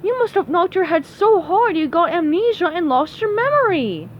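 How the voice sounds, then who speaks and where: A high, animated female cartoon squirrel from Texas, bossy and exasperated as a woman reads her from a storybook. She is emphatic and no-nonsense, with faint noise on the recording. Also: moderate pace. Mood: determined. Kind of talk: read-aloud children's cartoon storybook. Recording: dull, noisy recording.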